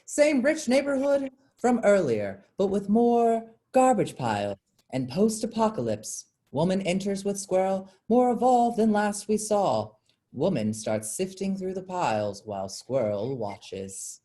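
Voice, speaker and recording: A narrator reads scripted stage directions for a play. The pitch is mid-range and moves in a lively way. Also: measured pace.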